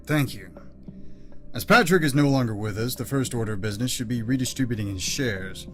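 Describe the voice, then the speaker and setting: Male actor as condescending boardroom executive in a radio play, mid-pitched, curtly polite, easily rattled, faint music behind. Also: brisk, fairly bright tone, natural voice. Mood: businesslike. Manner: curt, sarcastic politeness.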